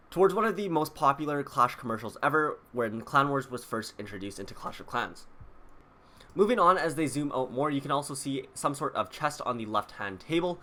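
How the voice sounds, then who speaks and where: A man's voice: mid-range voice, bright, forceful tone. A man hosting a video, explaining details to viewers in a casual, chatty way. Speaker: video host. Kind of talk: casual scripted video commentary.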